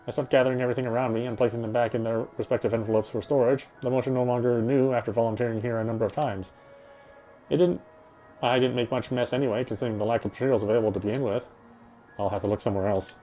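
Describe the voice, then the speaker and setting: A man reading a horror visual novel aloud in an expressive, first-person storytelling manner. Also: mid-range voice, quick pace, long pauses. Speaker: let's-player reading a game aloud. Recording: game music behind the voice. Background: game music.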